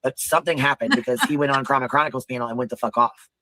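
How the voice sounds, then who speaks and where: Fast-talking deep-voiced man, rambling. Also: animated, bright, forceful tone, a little hiss or hum. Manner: rambling, profane, repeats "you know what I mean". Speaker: livestream commentary host. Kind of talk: casual livestream commentary.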